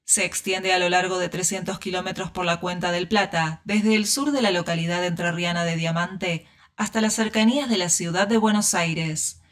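A woman with a slightly low voice reads scripted documentary narration. Her delivery is even and informative. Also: normal speed.

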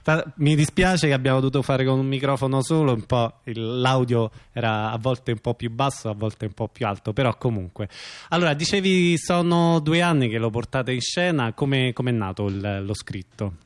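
A man's voice: mid-range voice, moderate pace. A man hosting a casual live radio interview with theatre guests.

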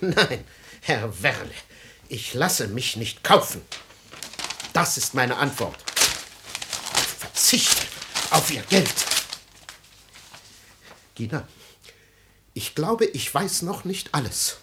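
A man's voice: medium pitch, sing-song, takes it slowly, long pauses, sharp tone, a little hiss or hum, full character voice. An actor playing a proud, wounded father in a radio play, theatrical, agitated and commanding. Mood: indignant, suspicious. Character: proud, wounded husband and father, melodramatic and volatile.

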